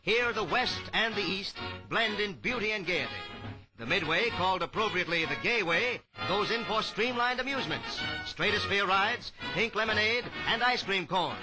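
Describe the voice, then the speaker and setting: Old newsreel guy from the 30s, high pitched, grand formal delivery with music under it. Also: animated, normal speed, poor audio. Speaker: newsreel narrator. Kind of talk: 1930s newsreel scripted narration. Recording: vintage 1930s newsreel soundtrack.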